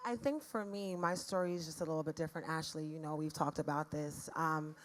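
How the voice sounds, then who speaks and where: A raspy-voiced woman from the Caribbean, telling a personal story as a panelist in an earnest, frank way. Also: low voice, steady tone, fairly quick. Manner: earnest, frank, speaks of faith.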